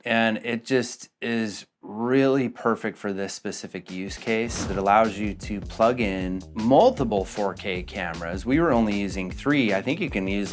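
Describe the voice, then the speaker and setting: Chatty man rambling about kitchen gear. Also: lowish voice. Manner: chatty, rambling, playful asides. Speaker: co-host. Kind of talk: casual vlog chat. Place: home kitchen.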